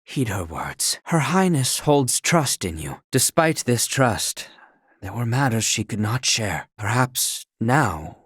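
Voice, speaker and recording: A man's voice: medium pitch, sing-song, slow, fairly bright tone, breathy edge, no echo, clean studio sound, full character voice. A man voicing a devoted, earnest young knight bodyguard in a fantasy video game, speaking in formal, archaic, courtly phrases. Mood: earnest, subdued. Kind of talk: scripted fantasy game acting.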